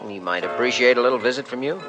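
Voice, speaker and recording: An actor in a 1950s radio drama playing an earnest young male doctor, gentle and persuasive. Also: mid-range voice, fast, highs cut off, over music, close to an everyday voice.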